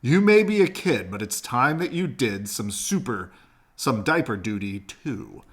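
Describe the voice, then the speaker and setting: A man's voice: mid-range voice, very animated, measured pace, breathy edge. A man voicing a formal, grandly serious father addressing his young son in a picture book. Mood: solemn, formal.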